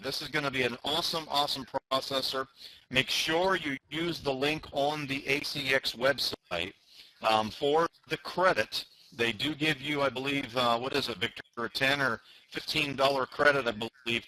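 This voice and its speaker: A man with a bright, forceful voice at a middle pitch co-hosts a company conference call. He explains things to members in a promotional way, leaning on key words and repeating them for emphasis. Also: normal speed, low-quality recording. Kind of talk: promotional informational talk to members.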